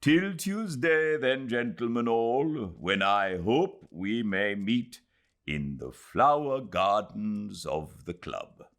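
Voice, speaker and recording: Man voicing a stately old nobleman, very slow. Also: fairly low pitch, sing-song, fairly bright tone, voice pushed up, full character voice. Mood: gracious farewell. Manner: stately, gracious, deliberate. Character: courteous, dignified elderly Indian nobleman and landowner. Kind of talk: audiobook character voice.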